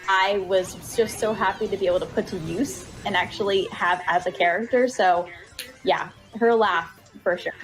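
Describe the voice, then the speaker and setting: A woman's voice: mid-range voice, fairly even intonation, moderate pace, over music, poor audio. A woman voice actor talking casually as an interview guest, often giggling as she speaks.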